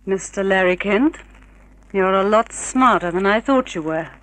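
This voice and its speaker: An actress as a scheming woman of old radio crime serials. Her voice sits at an ordinary female pitch, and she speaks with polite, theatrical composure. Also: unhurried, a bit bright, close to an everyday voice, rough recording. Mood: coolly composed. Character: cool, scheming female poisoner in love. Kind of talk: 1950s radio drama acting.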